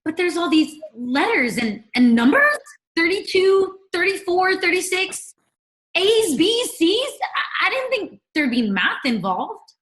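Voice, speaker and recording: A woman playing a sarcastic, excitable middle school best friend in a comedic table read, wry and emphatic. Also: extremely high pitch, a little slow, full character voice, low-quality recording. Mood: puzzled.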